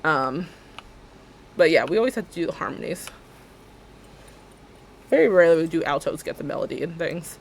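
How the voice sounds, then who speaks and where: A young woman with a deep alto voice, chatting casually and rambling as she streams a building game, laughing often and talking to her viewers. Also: expressive, normal speed, gravelly, pauses often, a little hiss or hum.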